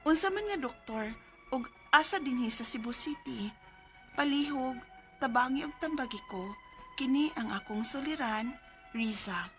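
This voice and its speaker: A woman's voice: high-pitched, expressive, normal speed, long pauses. An actress playing a frightened, trauma-shaken working mother in an emotional, plaintive radio drama style. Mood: anxious, pleading. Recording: music bed under the voice.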